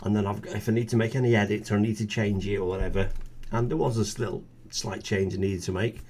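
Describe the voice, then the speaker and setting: A man in his fifties, co-hosting a casual chat show and talking in an animated, chatty way. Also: lowish voice, fast talker, a little hiss or hum. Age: 50s. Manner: animated, chatty, restarts sentences. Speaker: co-host.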